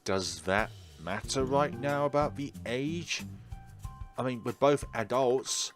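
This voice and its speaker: A man's voice: medium pitch, slow, soft background music. A man hosting a visual novel playthrough, reading the text aloud and mixing in casual, offhand remarks. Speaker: host of a game playthrough.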